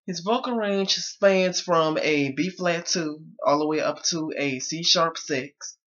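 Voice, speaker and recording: Young male vlogger, slightly high pitch, strained voice, dull webcam sound. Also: slow pace, fairly bright tone. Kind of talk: casual talk to camera. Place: small bedroom indoors.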